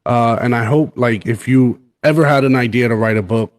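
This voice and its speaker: A man's voice: mid-range voice, normal speed. A man hosting a radio interview, giving short affirmations and politely thanking his guest. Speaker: radio host.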